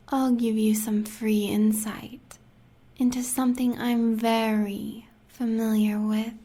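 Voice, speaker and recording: A woman's voice: on the high side, creaky, mellow, husky, heavily acted. A woman with an American accent playing a sadistic, seductive huntress in an audio roleplay, talking in a teasing half-whisper.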